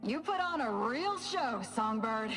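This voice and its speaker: A woman's voice: fairly high pitch, lively intonation, a little slow, fairly bright tone, faint music behind. A woman voicing a hostile, mocking rival fighter in a video game, cool and menacing. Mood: mocking menace.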